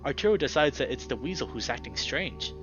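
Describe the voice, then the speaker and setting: A young adult man reading a visual novel aloud with expressive narration and excitable, emphatic commentary. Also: mid-range voice, fairly quick. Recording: slightly dull audio, music behind.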